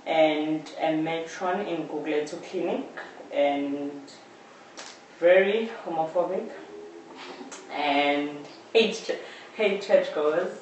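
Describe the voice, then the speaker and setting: A woman's voice: low voice, slow pace, a little mellow. A woman with a South African accent, an amateur actor, speaking in short phrases in an interview. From Khayelitsha, South Africa. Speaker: amateur audio drama actor. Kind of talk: introducing herself and her role. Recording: echoey, distant live stream, faint noise.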